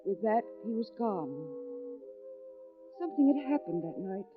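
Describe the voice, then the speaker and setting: An actress playing an anxious, devoted wife in a 1940s radio suspense drama, speaking in a dramatic, deliberate manner. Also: female voice, medium pitch, lively intonation, lightly acted. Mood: brooding, uneasy. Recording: vintage radio, phone-like band, music behind.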